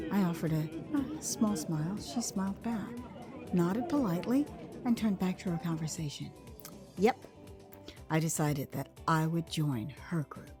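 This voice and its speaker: An American woman narrating her own dramatized memoir in a theatrical, expressive way, savoring her phrases. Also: mid-range voice. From Texas, United States. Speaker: author and narrator.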